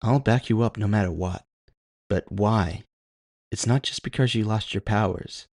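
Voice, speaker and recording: A fierce, caring tough woman played by a male narrator in a cyberpunk audio story. The voice has a gravelly grain and speaks bluntly, challenging and swearing. It sounds close and dry. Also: lowish voice, normal speed, a little mellow, no echo. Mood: probing.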